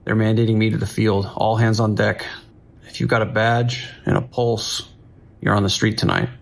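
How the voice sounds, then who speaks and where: An actor playing a weary, steady New York police dispatcher in an audio drama, speaking in a measured, procedural way. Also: male voice, fairly low pitch, creaky. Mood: weary, grim.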